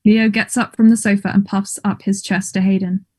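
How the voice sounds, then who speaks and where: A woman's voice: medium pitch, little pitch movement, normal speed, darkish tone, breathy edge. A woman reading stage directions as scripted narration.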